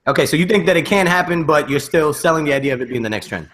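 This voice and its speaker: A man hosting a casual panel discussion, opinionated and animated, checking in with rhetorical questions over a video call. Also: fairly high pitch, rapid-fire. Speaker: podcast host.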